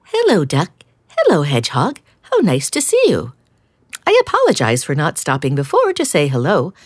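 A woman's voice: low voice, wildly swooping pitch, moderate pace, dry recording, clean studio sound, pitched above the performer's own voice, heavily acted. A woman voicing a polite, apologetic female cat in a storybook reading. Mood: friendly.

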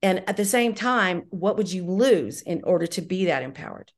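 Low-voiced middle-aged woman, enthusiastic. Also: expressive, moderate pace. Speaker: podcast guest, audio drama writer-director. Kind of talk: conversational interview answers.

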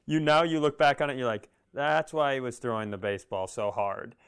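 A man with a clear, smooth, medium-pitched voice co-hosts a comedy podcast. He riffs casually, rambling through jokes and poking fun at himself. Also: brisk, a bit bright. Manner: joking, rambling, self-deprecating. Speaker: podcast co-host. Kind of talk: casual comedic chat, riffing.

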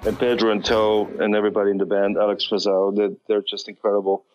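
A man's voice: fairly low pitch, level tone, fast talker, a little mellow, lo-fi sound, faint music behind. A man with a light non-native accent, talking as a musician guest in a casual interview, rambling with many restarts and fillers.

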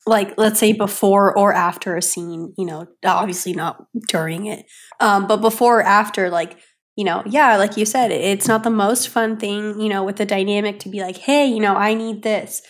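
A woman's voice: medium pitch, fairly even intonation, normal speed. A woman co-hosting a podcast, chatting in an upbeat way with many fillers. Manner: chatty, upbeat, lots of "like" and "you know". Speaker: co-host. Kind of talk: casual podcast chat.

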